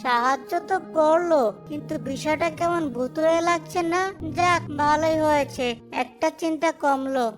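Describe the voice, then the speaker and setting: A man's voice: very high-pitched, steady tone, normal speed, few pauses. A man playing a practical, wary young husband in a cartoon, complaining and uneasy, with music behind him. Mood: startled, uneasy. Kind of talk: cartoon dialogue acting.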